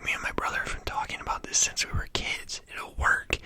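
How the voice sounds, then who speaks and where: A whispering narrator voicing a level-headed young male survivor in a soft, unvoiced whisper. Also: fairly quick. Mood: calm persuading. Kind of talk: whispered ASMR story reading.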